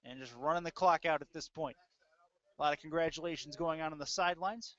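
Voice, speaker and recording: A man's voice: fairly high pitch, fast, fairly bright tone. A man doing live play-by-play commentary of a football game as a sports announcer. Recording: dull lo-fi web audio stream.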